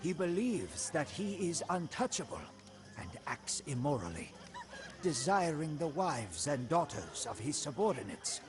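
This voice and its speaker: An actor playing a proud, devoted commander of a royal guard, narrating a game's storybook in a solemn, deliberate manner. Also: male voice, a little high, big pitch swings. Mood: troubled. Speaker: narrator of a game campaign story. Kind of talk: scripted storybook narration in character. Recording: music behind the voice.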